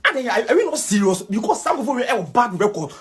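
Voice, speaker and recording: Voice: medium pitch, big pitch swings, a little fast, slightly rough. An activist making a heated, demanding public appeal in mixed English and Pidgin.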